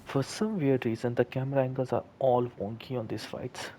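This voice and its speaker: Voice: mid-range voice, fairly even intonation, a little fast, warm tone, slight background noise. A gaming video commentator giving casual remarks over gameplay.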